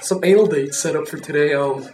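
A prank caller talking in a silly, halting way on a crude phone call. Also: medium pitch, a little mellow, slight background noise. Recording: echoey distant phone prank recording, music behind.

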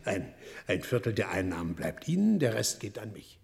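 A man in a German radio play, playing an eccentric elderly gentleman investor, excitable and emphatic, repeating himself. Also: on the low side, a little slow, creaky, big-room sound, exaggerated voice. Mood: wheedling, sly. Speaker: actor in a radio drama.

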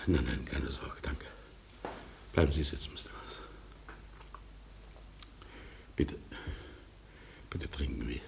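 An actor in a German radio play playing an older, ailing lawyer, a melancholy storyteller who speaks formally and deliberately, often letting his voice sink. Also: rumbling low, steady tone, vocal fry, darkish tone, exaggerated voice. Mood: breathless, weary. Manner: deliberate, formal, pauses and drops to near whisper.

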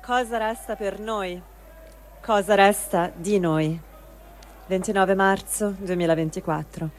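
A woman giving a slow, grave dramatic reading of diary texts for a radio program. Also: medium pitch, fairly even intonation. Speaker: reader.

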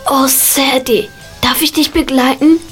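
A woman's voice: high voice, fairly even intonation, unhurried, fairly bright tone, faint music behind. An actress playing a concerned, loyal schoolgirl friend in a radio play. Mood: curious, concerned. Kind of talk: German radio play acting.

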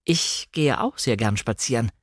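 A man's voice: medium pitch, lively intonation, measured pace, dry recording, studio quality. A man voicing a timid, polite young wife who is eager to please, in a dramatic novel reading.

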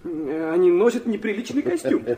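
A man's voice: high voice, lively intonation, moderate pace, mellow. An actor playing a proud, touchy young man in a radio play, earnest, abrupt and stiffly formal. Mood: awkward, defiant. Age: young adult. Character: proud, touchy, earnest youth of about twenty.

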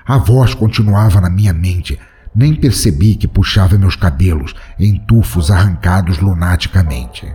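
A man's voice: low voice, moderate pace, hardly any pauses, warm tone. A man narrating a first-person horror story in a dramatic, tense storytelling manner. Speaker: narrator and podcast host.

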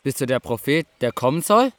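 An actor playing a questioning temple envoy in a biblical radio drama, pressing and demanding. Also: male voice, mid-range voice, big pitch swings, a little fast, close to an everyday voice.

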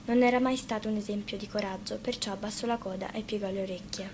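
A woman's voice: mid-range voice, steady tone, fast talker, darkish tone. A woman narrating a scripted fairy tale as a storyteller. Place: radio studio. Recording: faint background noise.

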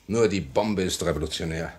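A male actor as a hardened revolutionary in vintage German radio drama. He is low-pitched, terse and suspicious, delivering short questions and blunt verdicts with cold severity. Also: a little fast, close to an everyday voice. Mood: grim, fanatical. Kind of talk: 1950 German radio play acting.

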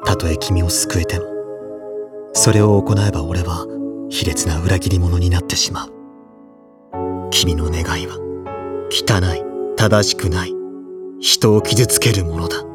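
An actor playing a tormented young man in an audio drama, grave and measured as he confesses a guilty love. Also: deep voice, steady tone, over loud music. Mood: anguished, accusing.

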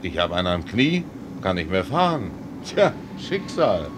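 A man's voice: big pitch swings, a little slow. A man acting in a German radio play as a good-natured rogue tram driver, folksy, chatty and repeating himself. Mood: concerned, chatty. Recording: clear vehicle rumble and ambience.

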